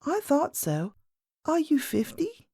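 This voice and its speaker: Storybook little girl princess, played by a woman: high, swooping pitch, eager, questioning, outspoken. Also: huge pitch swings, takes it slowly, warm tone, no room sound, clean studio sound, heavily acted. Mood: frank, inquisitive. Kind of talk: storybook character voice.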